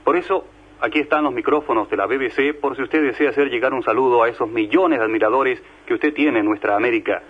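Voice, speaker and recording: Male radio interviewer. Raspy voice, ceremonious and formal delivery, lo-fi archival broadcast sound. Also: medium pitch, quick pace. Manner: ceremonious, courteous. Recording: dull old radio recording.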